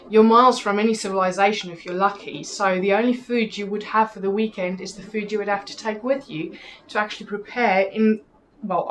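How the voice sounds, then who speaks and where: A young woman from South Africa with a South African accent, Afrikaans her first language, answering interview questions in a measured, orderly way, point by point. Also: mid-range voice, poor audio. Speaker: interview guest, new presenter.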